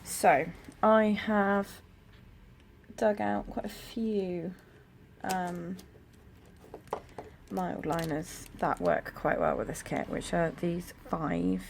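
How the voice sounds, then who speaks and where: A young woman with a croaky, gravelly voice and a lowish pitch talks in a relaxed, rambling way while she decorates her planner. She records at home, and faint traffic hum sits under her voice. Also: very slow. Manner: chatty, rambling, soft-spoken. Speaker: planner vlogger. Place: home room near a busy road. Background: traffic noise.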